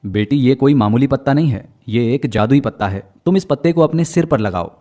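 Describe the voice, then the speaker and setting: A man voicing a gentle, fatherly talking magical tree in a children's story. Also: medium pitch, a little fast, lightly acted. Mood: gentle, reassuring. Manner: reassuring, fatherly address. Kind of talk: children's moral story acting.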